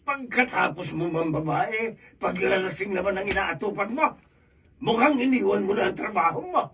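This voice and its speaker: An actor playing a stern, angry older father-in-law in a radio drama, with a gravelly voice. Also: high voice, lively intonation, moderate pace, fairly bright tone, distant mic, a little hiss or hum. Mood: accusing.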